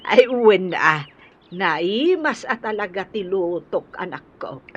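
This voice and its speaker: A woman's voice: medium pitch, animated, slow pace. A woman playing a tender older mother fussing over her grown son in a radio drama, with a Filipino accent. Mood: doting. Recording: dull, faintly noisy radio recording.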